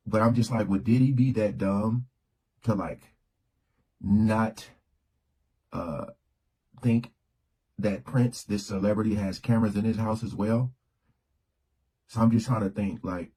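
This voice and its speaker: Male commentator, lowish mellow husky voice, casual, halting delivery. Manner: halting, repeats words while thinking aloud.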